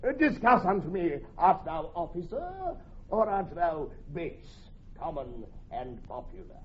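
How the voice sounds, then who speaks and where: Pistol, blustering soldier with wild swoops. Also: male voice, fairly high pitch, sing-song, measured pace. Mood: blustering challenge. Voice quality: blustering, declamatory. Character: Pistol, swaggering, bombastic braggart soldier. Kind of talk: classical Shakespearean radio acting. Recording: muffled, hissy, echoey, distant from mic.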